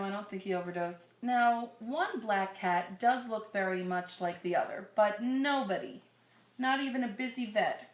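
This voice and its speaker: A woman's voice: medium pitch, a little hiss or hum. A woman reading a children's story aloud expressively, drawing out phrases and adding conversational asides and questions for her listener. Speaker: reader of a children's picture book to a listener. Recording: roomy, echoing sound.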